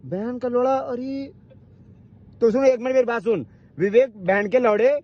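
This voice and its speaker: A man in a heated argument on a recorded phone call, confrontational and repetitive, often cutting in and swearing. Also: normal speed. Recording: muffled, low-fidelity phone call recording.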